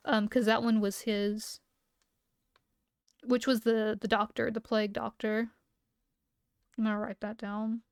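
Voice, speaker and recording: A woman livestreamer reading document entries aloud and chatting between them, her voice a little hoarse from talking so much, sometimes skipping text with "blah, blah". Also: on the high side, flat delivery, unhurried, long pauses, dry recording, studio quality. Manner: skips text with "blah, blah" asides. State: losing her voice. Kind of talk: reading entries aloud, then casual chat.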